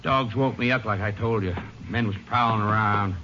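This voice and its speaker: An actor playing an excitable, folksy rustic rancher in a 1950s radio western. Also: male voice, fairly low pitch, normal speed. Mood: agitated. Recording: muffled old radio recording with hiss.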